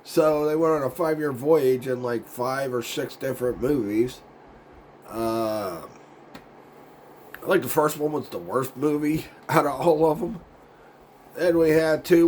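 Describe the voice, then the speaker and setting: A man hosting his own video show, with a voice gone raspy and hoarse from a sinus cold, chatting in a loose, meandering way, losing his words and chuckling now and then. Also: mid-range voice, unhurried, darkish tone, a little hiss or hum. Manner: laid-back and meandering, loses his words, chuckles often. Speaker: YouTube show host. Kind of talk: casual rambling vlog chat.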